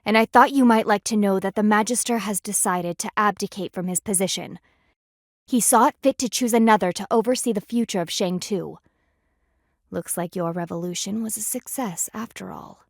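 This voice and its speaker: A woman's voice: medium pitch, moderate pace, no room sound, clean studio sound, close to an everyday voice. A woman voicing a stern, proud female panda warrior in a video game, with formal, clipped and dryly sardonic diction. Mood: earnest, formal. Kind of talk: video game character voice lines.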